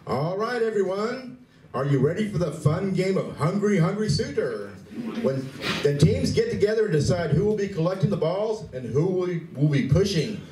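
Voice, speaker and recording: A man's voice: high voice, lively intonation, normal speed, dark tone. A man playing a cheerful game coordinator in a radio play, announcing and giving play-by-play. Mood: upbeat announcing. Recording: distant, echoey room with faint noise.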